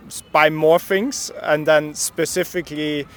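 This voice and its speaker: Austrian man, relaxed street interview. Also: a little high, measured pace, smooth. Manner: relaxed, chatty, laughs often.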